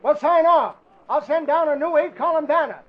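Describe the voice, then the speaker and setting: A gruff, excitable newspaper editor in a vintage radio drama. He is blunt and slangy, with a strikingly high pitch and dull recorded sound. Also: moderate pace. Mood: excited, urgent. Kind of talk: 1940s radio drama acting.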